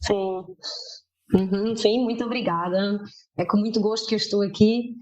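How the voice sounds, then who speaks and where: A woman in her fifties, from Germany, speaking as a guest in an interview and telling her story haltingly with many filler sounds. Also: mid-range voice, steady tone, highs cut off.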